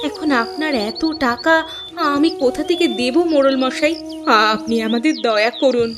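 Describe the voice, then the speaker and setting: A woman's voice: sits high, normal speed, natural voice. A woman voicing a poor village mother in a cartoon, speaking in a plaintive, respectful and dramatic way. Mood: pleading. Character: poor, pleading village mother, abandoned wife. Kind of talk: Bengali cartoon voice acting. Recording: loud background music under the voice.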